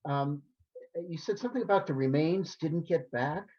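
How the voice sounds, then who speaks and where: Halting man on a dull line. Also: a little high. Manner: halting, stammering. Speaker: audience member asking a question. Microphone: video call.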